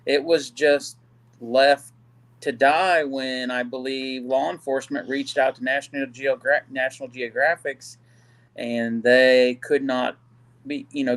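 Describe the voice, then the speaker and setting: A man from Kansas, a guest on a live stream, talking in halting bursts full of "you know.". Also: mid-range voice, steady tone. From Kansas City, Kansas. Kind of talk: casual live-stream discussion.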